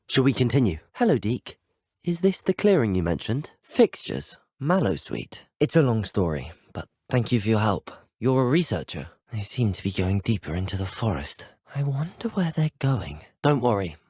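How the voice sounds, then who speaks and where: A polite, eager student wizard from a video game, with an English accent and a medium-pitched voice. His delivery is earnest and well-spoken, with lots of melodic movement. The sound is dry and studio-clean. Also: darkish tone, husky, dry recording. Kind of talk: scripted game dialogue lines.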